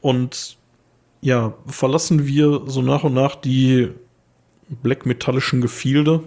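A young man with a slightly hoarse voice, talking casually as a podcast co-host. He rambles, restarts his sentences and fills the gaps with "ähm". His pitch sits in the middle for a man. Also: level tone, slow pace, darkish tone. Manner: rambling, many fillers and restarts. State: a little hoarse. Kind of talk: casual chat.

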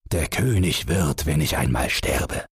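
Male narrator as gruff old king, deep, raspy, pompous, stern, fairy-tale audiobook. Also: lively intonation, measured pace, no echo, clean studio sound, heavily acted. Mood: sad resignation. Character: gruff old king and father, irritable, resigned.

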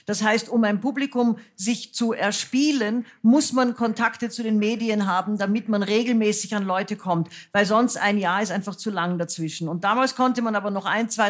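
A woman raised in the United States, a singer and author, talking frankly and wryly with many fillers as a guest on a stage before an audience. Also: medium pitch. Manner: lively, frank, self-mocking, many fillers. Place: cultural centre stage with audience.

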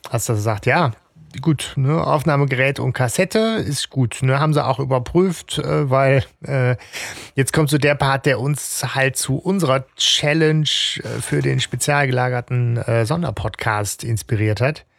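A man's voice: medium pitch, measured pace, creaky, dry recording. A man co-hosting a podcast, chatting about a radio drama with enthusiasm and lots of filler sounds. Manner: enthusiastic, hesitant with many fillers. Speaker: podcast co-host.

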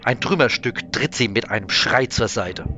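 A man's voice: mid-range voice, expressive, moderate pace, bright, forceful tone. A man reading scripted dramatic narration for a German audio drama. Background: music.

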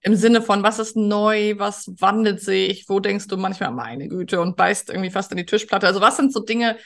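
A woman's voice: medium pitch, fairly even intonation, normal speed, fairly bright tone. A woman hosting a podcast interview in a chatty, conversational way, speaking directly to her guest and listeners.

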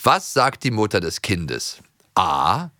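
A young man co-hosting a casual podcast chat, playful, chiming in with echoes and quick questions. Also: lowish voice, huge pitch swings, bright, forceful tone, studio quality. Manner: playful, chimes in with echoes and short replies. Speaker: podcast co-host.

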